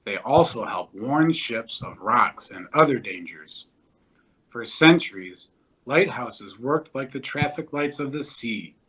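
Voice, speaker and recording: A man reading a children's nonfiction book aloud in a measured, deliberate way. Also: medium pitch, animated, a bit bright, husky, poor audio.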